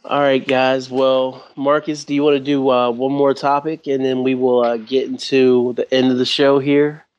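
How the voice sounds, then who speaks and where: A man's voice: mid-range voice, flat delivery, normal speed, darkish tone, smooth, lo-fi sound. A man hosting a casual sports discussion show. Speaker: sports talk show host.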